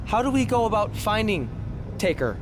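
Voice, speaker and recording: A man's voice: sits high, big pitch swings, moderate pace, noisy background. A man playing an exasperated, skeptical young reluctant hero in a video game, with a sarcastic manner. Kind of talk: video game cutscene acting.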